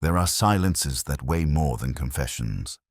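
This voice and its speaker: A middle-aged man reading a scripted first-person detective story in a measured, brooding manner, with deliberate pauses. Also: extremely low pitch, expressive, no room sound, clean studio sound. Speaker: narrator.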